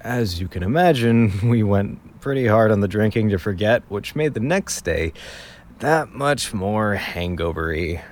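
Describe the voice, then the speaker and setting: Low-voiced, weary young man, played by an actor. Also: animated, a little slow. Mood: rueful, confiding. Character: weary hungover young man, reluctant investigator telling the story. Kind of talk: naturalistic audio drama acting and narration.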